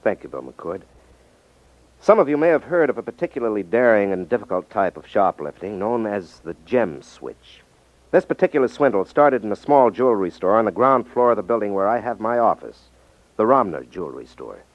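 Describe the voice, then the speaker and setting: A man's voice: fairly low pitch, big pitch swings, a little fast. A man playing a seasoned, worldly-wise detective narrating his case in a 1950s radio drama. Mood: storytelling. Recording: muffled 1950s radio recording.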